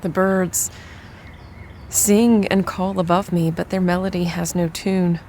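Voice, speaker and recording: A woman playing a bereaved mother, emotionally hollow and bitter, giving a somber, measured dramatic monologue. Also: lowish voice, flat delivery, a little mellow, a little hiss or hum. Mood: hollow grief. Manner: measured, somber, poetic delivery. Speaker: audio drama actor.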